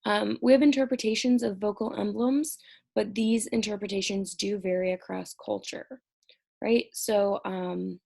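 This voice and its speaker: A woman's voice: medium pitch, fairly even intonation, unhurried, vocal fry, mellow. A young woman recording an exam review lecture at home, explaining each topic conversationally while sounding stressed and short on sleep. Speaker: college instructor.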